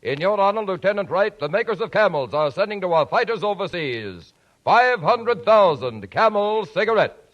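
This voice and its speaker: A man reading a formal, measured tribute announcement on the radio. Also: high-pitched, lively intonation, a bit bright, a little hiss or hum. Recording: muffled old radio recording.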